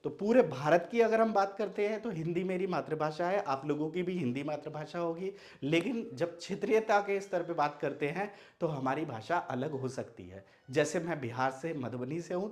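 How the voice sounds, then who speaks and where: A young man from Bihar whose first language is Maithili, teaching an online Hindi lesson. He asks questions and then answers them himself. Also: a little high. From Madhubani, Bihar, India. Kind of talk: explanatory video lesson.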